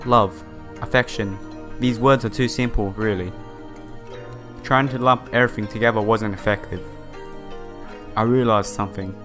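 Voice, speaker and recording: A man's voice: on the low side, steady tone, moderate pace, long pauses. A man with a sore, slightly broken voice doing a casual game playthrough, reading visual novel text aloud and adding his own comments. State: sore throat. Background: game music.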